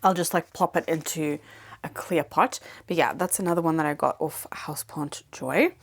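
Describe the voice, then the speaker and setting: Woman, plant vlogger, lowish voice, rambling casual chat, non-native English, at home. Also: steady tone, normal speed, slightly rough. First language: not English. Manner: chatty, rambling, with many fillers. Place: home, indoors.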